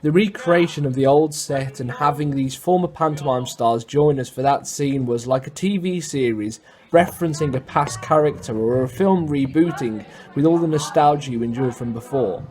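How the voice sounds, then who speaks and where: A man's voice: mid-range voice, normal speed, darkish tone. A man reading scripted narration as a documentary narrator.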